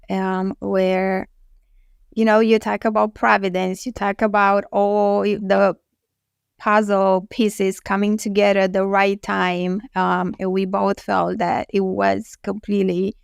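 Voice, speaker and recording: Interview guest, woman from Romania, calm and reflective, smooth yet gravelly voice, close dry mic. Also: medium pitch, no room sound. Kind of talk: casual interview chat.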